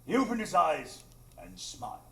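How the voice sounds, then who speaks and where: A man playing an earnest gentleman narrator, telling the story in a literary manner in a live radio drama. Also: fairly high pitch, huge pitch swings, moderate pace, creaky, heavily acted. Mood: reflective, dramatic. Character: earnest gentlemanly male doctor-narrator recounting adventures. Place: small improv theatre stage. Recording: roomy, noisy live stage recording.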